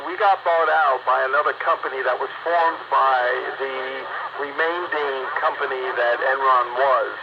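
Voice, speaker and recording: A man's voice: mid-range voice, steady tone, a little slow. A man telling stories casually over a two-way radio. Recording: dull, noisy two-way radio.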